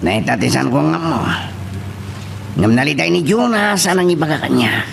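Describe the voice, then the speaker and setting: A man's voice: fairly high pitch, very animated, moderate pace, vocal fry, slight background noise, full character voice. A man with an Ilocano accent playing a chatty, deferential aide to a rich madam in an audio drama.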